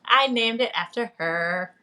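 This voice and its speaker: A woman's voice: fairly high pitch, a little slow, pushed and bright, slight background noise. A woman speaking as a guest author in a casual livestream, playful and informal in manner. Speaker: author guest on a book live stream.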